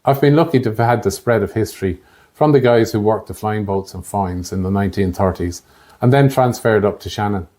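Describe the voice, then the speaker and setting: Low-voiced Irish man narrating. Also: brisk, warm tone. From Ireland. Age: late 50s. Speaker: podcast host, air traffic controller. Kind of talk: scripted narration.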